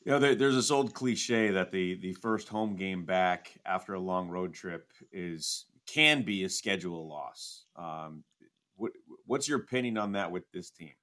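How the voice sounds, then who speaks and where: A man's voice: deep voice, unhurried, a bit bright. A man hosting a sports podcast, giving conversational commentary on games and teams.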